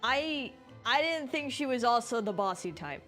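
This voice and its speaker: Female game streamer, lightly high voice, informal off-the-cuff commentary over soft game music. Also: lively intonation, moderate pace. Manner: informal, musing aloud. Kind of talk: casual live game commentary.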